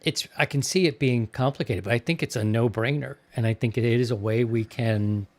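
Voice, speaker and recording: A man's voice: mid-range voice, moderate pace, a little mellow. A man hosting a podcast in casual chat, talking in an enthusiastic, rambling way. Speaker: podcast host.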